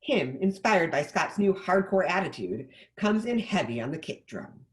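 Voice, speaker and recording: A man's voice: high-pitched, very animated, normal speed. A man reading stage directions as scripted narration for a table read.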